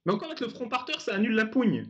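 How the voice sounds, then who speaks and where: A man's voice: sits high, sing-song, fast, fairly bright tone. A man hosting a casual talk, sarcastic and laughing often. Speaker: host of a debate channel. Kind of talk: casual mocking chat. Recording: dull lo-fi sound.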